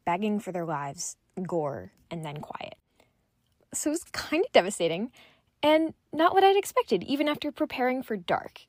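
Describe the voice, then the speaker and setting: Woman doing a fan voice message, medium pitch, really dry sound, just her talking. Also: a little mellow, dry recording. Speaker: listener sending a voice message. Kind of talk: personal fan commentary.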